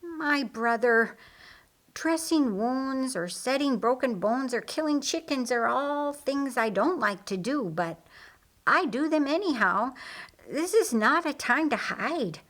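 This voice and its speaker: Anxious, insistent sister in a Bible audiobook, read by a woman, earnest and probing, slowly paced. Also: high-pitched, animated, a bit bright, voice pushed up, heavily acted. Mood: pleading, insistent. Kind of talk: audiobook character dialogue.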